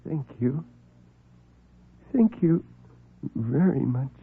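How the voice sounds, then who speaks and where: A man's voice: medium pitch, animated, drawn-out words, breathy edge, full character voice. A man playing a meek, flustered, kindly fellow who stammers and fusses, in a classic radio drama. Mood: frail, distressed. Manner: stammering, fussy, breathless exclamations. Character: meek, flustered, kindly man devoted to his unseen friends. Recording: muffled vintage radio recording with music.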